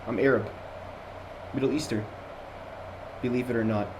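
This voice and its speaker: A man's voice: medium pitch, fairly even intonation, normal speed, noisy background. A young man from Jordan with an American accent, rambling casually as a student lecturer on an online voice call. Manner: chatty, rambling, with many fillers and restarts. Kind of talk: casual online review session. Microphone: computer mic.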